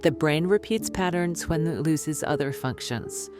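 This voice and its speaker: A woman acting as a self-assured medical expert in a radio drama. Her voice is notably low, with music underneath. She is clinical, condescending and dismissive. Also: lively intonation, a little slow. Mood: lecturing. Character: smug, dismissive female doctor and skeptic author. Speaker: doctor, expert guest.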